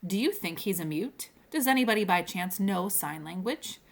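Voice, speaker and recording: A woman's voice: medium pitch, expressive, normal speed, creaky. A woman voicing a prim, inquisitive Triceratops from a storybook, genteel and easily offended. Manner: prim, old-fashioned politeness.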